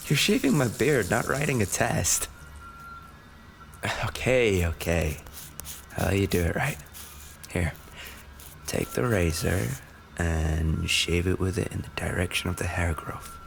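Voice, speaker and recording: A man playing an affectionate, injured racing driver boyfriend in an intimate roleplay audio, speaking softly and tenderly, often close to a whisper. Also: low voice, lively intonation, measured pace, creaky, pauses often, a little hiss or hum, full character voice. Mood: playful, fond.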